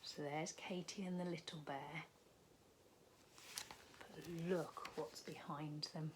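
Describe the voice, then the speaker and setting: A woman's voice: low voice, fairly even intonation, slow pace, background noise. A woman, a primary teacher reading a story expressively to young children, with chatty asides.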